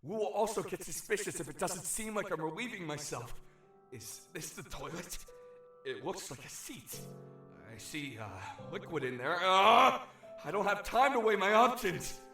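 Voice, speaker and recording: An actor playing an anxious young shapeshifter from another realm in an audio drama, talking to himself in a fretful, halting way. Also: male voice, high voice. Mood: urgent worry. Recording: roomy echo over prominent music.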